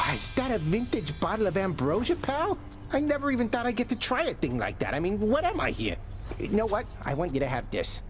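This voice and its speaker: An actor voicing a wisecracking, chummy skeleton pal in a video game, gruff but sentimental and very colloquial. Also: male voice, mid-range voice, animated, slow, few pauses, over music. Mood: chummy, touched.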